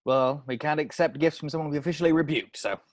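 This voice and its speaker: Man playing a stern deadpan cop, quick clipped delivery, dry close sound. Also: fairly high pitch, no echo, lightly acted. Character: stern, rule-bound male police sergeant.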